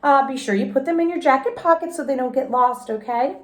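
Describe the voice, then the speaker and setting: A patient storybook schoolteacher, played by a woman reader, speaks kindly and gently instructs. Her voice sits high, with echo from the room around it. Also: brisk, close to an everyday voice. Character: patient, kindly female kindergarten teacher in storybook. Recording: roomy, echoey indoor sound.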